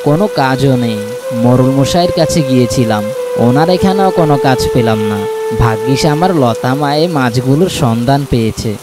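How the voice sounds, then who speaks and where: A humble cartoon farmer, voiced by a man, speaks at a middle pitch. He is an affectionate village father, with music playing clearly behind him. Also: brisk, lightly acted. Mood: grateful.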